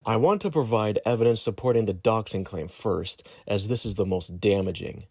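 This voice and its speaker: A man reading a written statement aloud in a formal, measured manner. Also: fairly low pitch, a little mellow, slightly rough, no echo, clean studio sound. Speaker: guest reader of a document.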